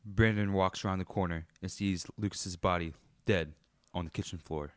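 A low-pitched male narrator acted by a man tells a radio murder mystery as the killer himself. The voice is deep and recorded dry, with scripted storytelling delivery. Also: steady tone, moderate pace, a bit bright, smooth, no room sound. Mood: grim storytelling.

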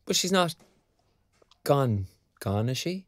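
A man's voice: mid-range voice, sing-song, slow, no echo, studio quality. Friendly young Irish man. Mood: concerned. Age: young adult. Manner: colloquial, friendly, concerned. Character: kindly, chatty young job-seeking graduate. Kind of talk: naturalistic audio drama acting.

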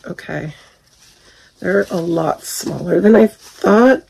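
A husky woman in her forties who is losing her voice, with a gravelly catch under her words. She talks slowly and candidly, sprinkling in ums and small laughs as she reviews her purchases. Recorded at home in a bedroom on a camera set at desk distance. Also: on the low side, dark tone. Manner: chatty, candid, frequent ums and little laughs. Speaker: haul vlogger. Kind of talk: casual product review chat.

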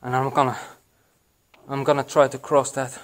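A young man with a Belgian accent narrating his exploration of an abandoned building to his vlog audience, excited and hushed, with many fillers, recorded on an action camera. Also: mid-range voice, moderate pace. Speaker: urban explorer vlogger. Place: abandoned building, echoey halls and attic. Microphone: action camera, handheld or body-worn.

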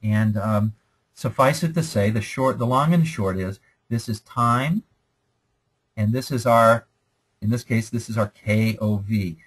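A man's voice: fairly low pitch, measured pace, slightly rough, rough recording. A man lecturing over slides in a conversational, explanatory way, often checking in with "okay?".

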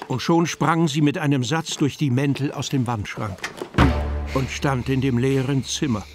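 A man's voice: medium pitch, steady tone, moderate pace, natural voice. A man narrating a German children's radio play in a scripted storybook style. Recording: music behind the voice.

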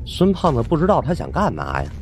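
A man's voice: medium pitch, wildly swooping pitch, quick pace, darkish tone, slight background noise. A man narrating a supernatural suspense audiobook in a theatrical, suspenseful way. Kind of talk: dramatic scripted storytelling of a supernatural suspense novel.

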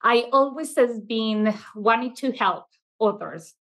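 A woman's voice: on the high side, slow pace, fairly bright tone. A middle-aged woman from Venezuela, whose first language was Italian, speaking with a Venezuelan accent as an interview guest. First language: Italian first language, raised in Venezuela. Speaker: interview guest, childcare school owner. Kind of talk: conversational interview answers. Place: classroom, video call.

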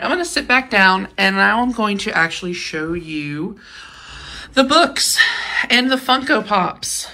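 A young American woman vlogger with a fairly low voice talks through a casual home tour. She is chatty and informal, slangy in her wording, and a little sniffly from a cold. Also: gravelly, sharp tone. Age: young adult. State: sick with a sniffle. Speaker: book vlogger and channel host. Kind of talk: casual shelf-tour chat. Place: indoors in a home library room.

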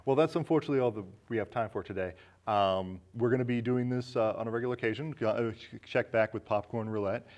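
A man's voice: mid-range voice, fast talker, darkish tone. A man in his thirties hosting a casual film discussion in a small studio. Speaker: talk show host. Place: small indoor studio set with curtains.

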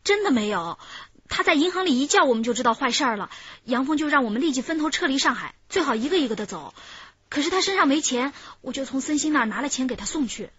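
A woman's voice: high-pitched, lively intonation, fast talker, a bit bright, no echo, dull audio. An actress playing a frightened, evasive young female accomplice being questioned by police in a radio drama. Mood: nervous, evasive. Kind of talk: Chinese radio drama acting.

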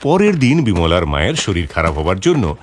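A man's voice: sits low, huge pitch swings, moderate pace, a little hiss or hum. A man narrating a scripted moral story for children. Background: background music.

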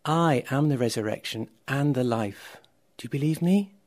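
A man voicing a calm, commanding holy teacher in a told Bible story, speaking with assurance. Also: medium pitch, normal speed, natural voice. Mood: calm, assured.

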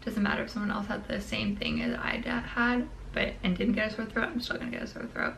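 A woman's voice: medium pitch, huge pitch swings, quick pace, vocal fry, fairly bright tone, in a whisper. A young woman chatting casually to the camera in her bedroom, with a crackly voice, eating while she talks. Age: young adult. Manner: chatty, eating ice cream while talking. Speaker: vlogger. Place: small bedroom, indoors.

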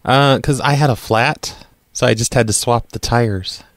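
A man of average pitch talks casually on a prank call. He hesitates and repeats his words as he argues. The sound is close and dry, with no room to it. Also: measured pace, a bit bright, no echo. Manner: hesitant, stammering, argumentative. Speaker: prank caller, show host.

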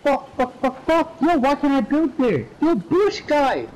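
Male gamer, crude trash talk, high voice, swooping pitch, faint noise behind. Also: big pitch swings, unhurried, darkish tone, rough recording. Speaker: game streamer. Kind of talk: casual gaming voice chat.

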